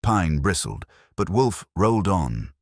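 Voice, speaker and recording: A man narrating a detective story in a dramatic, husky voice. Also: deep voice, sing-song, takes it slowly, dry recording, clean studio sound. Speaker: audiobook narrator.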